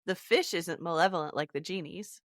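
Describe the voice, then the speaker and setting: A woman's voice: fairly low pitch, fairly quick, no room sound, studio quality. A woman hosting a podcast, chatting casually about a story and steering the discussion.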